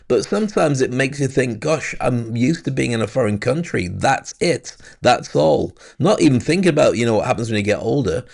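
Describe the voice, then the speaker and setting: Gravelly British man hosting a chat. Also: mid-range voice. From Britain. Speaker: host. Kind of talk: casual live-stream chat. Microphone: video call.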